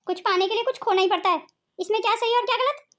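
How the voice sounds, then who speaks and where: A woman's voice: extremely high pitch, fast. A woman voicing a bossy, suspicious, meddling older sister in a Hindi cartoon family drama, commanding and insistent.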